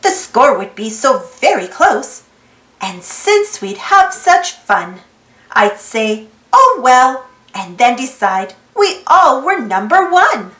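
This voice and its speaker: High, lilting woman reading a children's storybook. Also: big pitch swings, slow, fairly bright tone. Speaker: storybook reader, channel host. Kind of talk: children's storybook read-aloud. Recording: roomy echo with music behind. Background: music.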